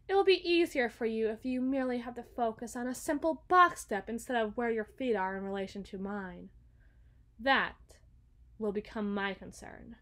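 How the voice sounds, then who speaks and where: A woman playing a haughty, battle-hardened evil queen in an ASMR roleplay, speaking formally and deliberately with dramatic pauses. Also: a little high, fairly bright tone. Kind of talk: ASMR roleplay monologue, scripted.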